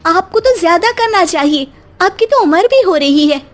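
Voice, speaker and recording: A woman's voice: very high-pitched, lively intonation, normal speed, a little hiss or hum, voice pushed up. A woman voicing a pious, naive young daughter-in-law who pleads and moralizes in a comic moral story. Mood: pleading, preachy. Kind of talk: Hindi moral comedy storybook cartoon acting.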